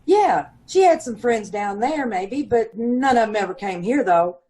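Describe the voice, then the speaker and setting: A woman's voice: fairly high pitch, lively intonation, a little slow, dark tone, poor audio. A woman playing a gossipy, aggrieved middle-aged landlady and mother of five, talking folksy and ungrammatical in old-time radio style. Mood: grudging. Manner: folksy ungrammatical talk, indignant and self-pitying. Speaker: witness in radio police drama.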